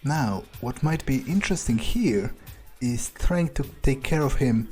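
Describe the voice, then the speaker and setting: A man narrating a video game in character, thinking aloud in an understated, musing way with frequent pauses. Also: mid-range voice, expressive, darkish tone, soft background music. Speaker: gamer playing in character.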